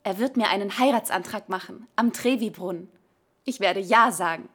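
An actress playing a wistful young woman, speaking a measured, confiding monologue in a radio play. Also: medium pitch, a bit bright, breathy edge. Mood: wistful, composed. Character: wistful young woman awaiting proposal, calmly foretelling her death.

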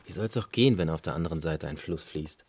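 An actor playing a self-assured, teasing friend with an assertive, needling manner in a German radio play. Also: male voice, very deep voice, quick pace, a little mellow, slightly rough. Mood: knowing, insistent. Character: teasing, knowing male friend, self-assured cellar-bar builder.

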